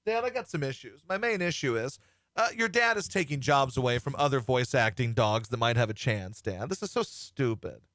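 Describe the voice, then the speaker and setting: A man's voice: mid-range voice, expressive, brisk, fairly bright tone, dry recording, studio quality, voice pushed down, close to an everyday voice. A man voicing his pet dog as a fussy, indignant complainer who keeps demanding things from his owner. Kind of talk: comic bit on a talk show.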